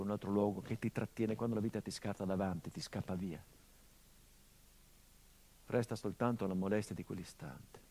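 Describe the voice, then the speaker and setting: A man's voice: sits low, creaky, warm tone, husky, full character voice, low-quality recording. An actor playing a middle-aged son haunted by his father's murder, in an intimate, brooding theatrical monologue for radio. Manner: intimate and brooding, swelling into anguished outbursts. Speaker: stage actor.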